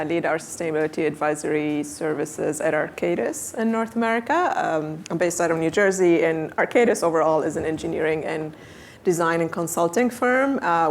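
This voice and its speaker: A woman introducing herself on a conference panel in a halting way with many fillers. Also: low voice, creaky, slight background noise. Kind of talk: panel presentation.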